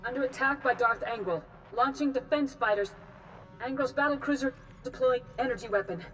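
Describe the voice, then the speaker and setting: A woman's voice: sits high, brisk, a bit bright, faint music behind, low-quality recording. A woman playing an alarmed officer giving clipped, urgent distress reports in a sci-fi video game. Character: alarmed female officer sending distress call. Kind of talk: dramatic video game acting.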